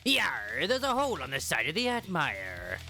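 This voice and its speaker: An anxious crew member from an anime game, acted by a man. The delivery is deferential and apologetic in tone, with lively pitch movement and music behind. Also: moderate pace, exaggerated voice. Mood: alarmed.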